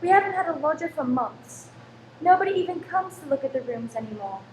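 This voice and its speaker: Woman acting a careworn Victorian wife, high voice, anxious complaining manner, radio play drama, roomy echo. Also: animated, brisk. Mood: bitter, worried. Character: fretful, careworn Victorian London landlady, sharp-tongued wife. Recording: roomy stage recording, faint background noise.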